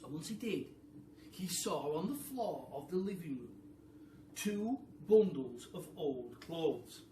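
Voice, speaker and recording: A man with a British accent telling a story to children like a primary school teacher, expressive and addressing them directly. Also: a little high, slow pace. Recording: echoey room, distant mic, faint noise.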